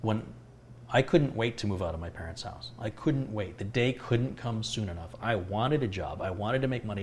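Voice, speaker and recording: Middle-aged male host, low voice, calm and reflective, light background hum. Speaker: tech livestream host answering viewer questions. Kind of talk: casual live Q&A chat.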